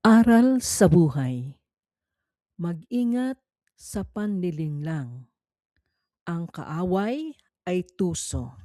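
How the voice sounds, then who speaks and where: A woman reading a scripted Bible quiz in a measured, formal, instructional manner. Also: lowish voice, very animated, dark tone, dry recording, studio quality. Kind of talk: scripted read-along narration.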